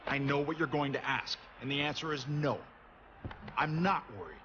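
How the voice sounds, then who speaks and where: A man's voice: on the high side, fairly even intonation, moderate pace, highs cut off, natural voice. A man playing a smug, condescending villain champion wrestler in a video game cutscene. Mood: smug, dismissive. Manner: condescending, self-assured. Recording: clear noise behind the voice.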